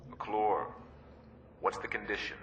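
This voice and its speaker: An actor playing a stern, deliberate navy captain in a radio drama. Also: male voice, fairly low pitch, flat delivery, a bit bright, dull audio, a little hiss or hum. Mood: intent, commanding. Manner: commanding, deliberate. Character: stern, measured male navy ship captain.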